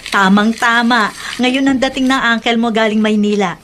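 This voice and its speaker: An actress playing a warm, motherly middle-aged aunt in a Filipino radio drama, chatty and affectionate. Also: on the high side, fairly even intonation, normal speed, a little hiss or hum, natural voice. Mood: welcoming.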